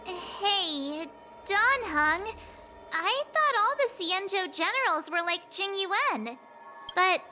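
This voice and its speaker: Nosy, bubbly teen girl: very high voice, game score under. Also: sharp tone, full character voice. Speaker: game character. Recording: game audio with music behind.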